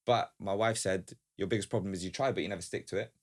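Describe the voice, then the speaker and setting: Male podcast guest, informal and talkative, lowish pitch, rapid delivery. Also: fairly even intonation. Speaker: guest, small business founder. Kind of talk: casual podcast conversation.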